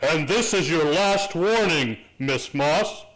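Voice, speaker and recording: A man acting a stern authority figure in a radio play, with a high voice and slow delivery. He sounds patronizing and deliberate, as if scolding someone beneath him. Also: expressive, a bit bright, poor audio.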